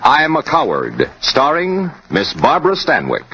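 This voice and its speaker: A man's voice: on the high side, huge pitch swings, a little slow, creaky, loud music behind the voice. An American man working as a radio announcer, reading a script in formal 1950s broadcast style. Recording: dull old radio recording.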